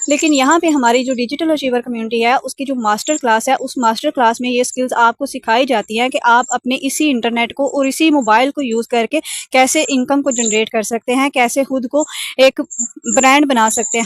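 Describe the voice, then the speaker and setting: A woman's voice: high-pitched, no echo. A woman explaining an offer as a sales coach on a call, wordy and instructive, mixing in many English terms. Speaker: sales coach pitching an online training course.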